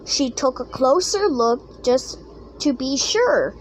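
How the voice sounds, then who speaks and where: Woman, high voice, slow halting read-aloud, children's storybook, occasional stumbles. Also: expressive, a little hiss or hum, poor audio. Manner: halting reading with stumbles and misread words. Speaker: storybook reader.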